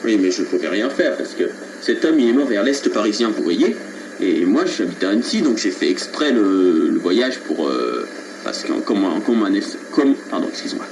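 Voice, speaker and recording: Man, prank caller, fairly low pitch. Deadpan, hesitant, sincere-sounding delivery over a poor, noisy telephone recording. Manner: hesitant, halting, feigned seriousness. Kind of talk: prank phone call, deadpan earnest. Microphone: telephone line.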